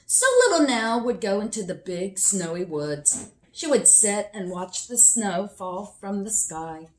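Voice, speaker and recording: A woman reading a picture book aloud expressively for children, with deliberate emphasis. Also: mid-range voice, expressive, darkish tone, a little hiss or hum, low-quality recording. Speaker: children's story reader.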